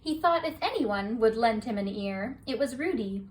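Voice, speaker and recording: A woman reading a children's bedtime story aloud in a soft, rhythmic rhyming delivery. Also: on the high side, expressive, moderate pace. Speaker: storybook reader. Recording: distant mic, faint hiss.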